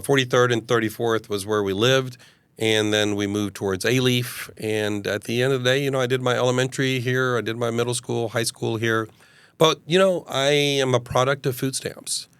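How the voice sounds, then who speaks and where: A man's voice: medium pitch, fairly even intonation, moderate pace, a bit bright, clear, resonant tone, dry recording. A man in his fifties from Uganda, a mayoral candidate telling his life story in a casual interview, earnest and expansive with frequent fillers. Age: 50s.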